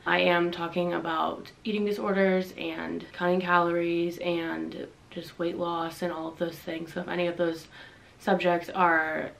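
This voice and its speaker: A young woman speaks to her camera from a dim bedroom, congested from a cold and confiding in an earnest way. Her voice sits fairly low and carries a heavy gravelly fry. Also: rough recording. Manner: earnest, confiding, reads from notes. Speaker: vlogger. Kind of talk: candid personal talk to camera.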